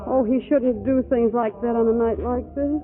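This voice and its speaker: Actress as flustered wry wife in old radio comedy, high voice, tinny sound w music behind. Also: female voice, fairly even intonation, moderate pace, close to an everyday voice. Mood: resisting, tender. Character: flustered, wry wife torn between love and money. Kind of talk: 1940s radio comedy acting.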